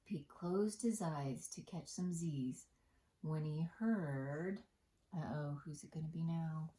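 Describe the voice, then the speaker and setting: A woman reading a picture book to young children as storytime host, singsong and deliberate, with asides to the listeners. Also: sits low, mellow. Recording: echoey room.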